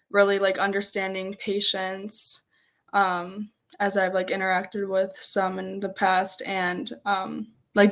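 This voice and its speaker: A woman in her twenties, a native Polish speaker, answering interview questions as a student in a halting, conversational way with frequent filler "like". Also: medium pitch, fairly bright tone. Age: 20s. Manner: hesitant, peppered with "like". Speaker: interview guest, first-year medical student.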